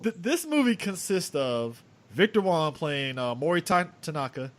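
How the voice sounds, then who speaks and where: A man's voice: on the high side, very animated, moderate pace. A man co-hosting a podcast in casual movie-review banter, rambling and leaning on fillers like "and stuff.". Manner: rambling, leans on fillers such as "and stuff" and "yeah, yeah". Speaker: podcast co-host.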